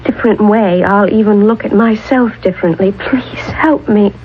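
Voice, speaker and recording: A woman's voice: mid-range voice, moderate pace, husky, lightly acted. A woman playing an earnest, precise lady professor in a 1970s radio drama. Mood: pleading, insistent. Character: earnest, overwrought lady agriculture professor accused of murder. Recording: muffled, band-limited old radio broadcast.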